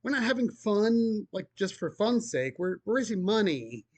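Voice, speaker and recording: A man game master chatting casually, speaking calmly and evenly. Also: high-pitched, moderate pace, dry recording. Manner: calm, even-tempered talker. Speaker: game master, video creator. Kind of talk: casual podcast chat.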